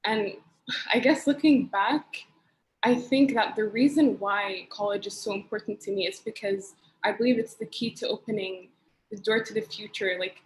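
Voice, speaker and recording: A young woman speaking as a student panelist, reflective and soft-spoken, with fillers. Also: mid-range voice, normal speed, low-quality recording. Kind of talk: casual panel discussion.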